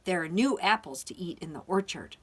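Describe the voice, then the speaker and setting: A woman's voice: fairly low pitch, animated, brisk, fairly bright tone, husky. A woman voicing a lazy, greedy farmyard pig in a children's storybook, speaking emphatically. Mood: lazy refusal.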